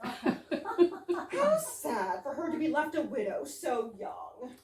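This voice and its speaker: A woman's voice: sits high, wildly swooping pitch, slow, a little mellow, exaggerated voice. A woman playing an earnest, easily ruffled English wife with absurd logic in a staged reading of a comedy play. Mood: theatrical pity. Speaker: amateur actress in a readers theater. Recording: roomy, distant, noisy live stage recording.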